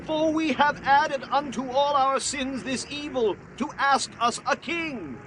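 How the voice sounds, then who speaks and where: A man playing an Israelite who speaks up loudly for his people in a Bible audio drama. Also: very high-pitched, a little slow, slight background noise, poor audio. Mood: fearful confessing. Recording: music behind the voice.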